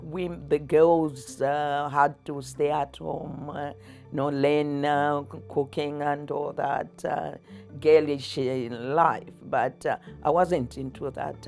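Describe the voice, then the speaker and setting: An older woman with a Zambian accent, recalling her life in conversation in a halting, chuckly way. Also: deep voice, creaky. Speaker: interviewee, athlete's mother. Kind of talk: personal recollection in conversation.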